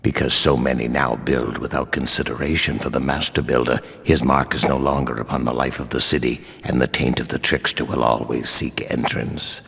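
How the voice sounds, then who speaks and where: Grim old-style priest sermon for a game, super gravelly and husky, solemn archaic wording. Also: male voice, steady tone, moderate pace, few pauses, fairly bright tone, heavily acted. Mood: solemn preaching. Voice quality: very deep, gravelly, raspy. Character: grim male priest of a stern religious order. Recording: long echoing reverb.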